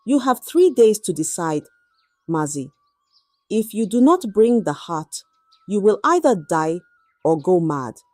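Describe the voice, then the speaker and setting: A woman plays a stern village chief priest in a narrated folktale. He speaks gravely and deliberately, with cold menace. The sound is dry, with faint music beneath. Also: mid-range voice, sing-song, a little mellow, dry recording.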